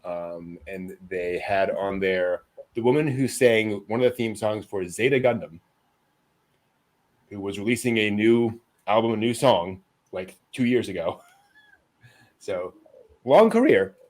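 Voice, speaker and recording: Male host, low voice, informal panel talk with frequent chuckles. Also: animated, a little slow, a little mellow. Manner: chuckles often. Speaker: host of an anime news show. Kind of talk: casual panel chat.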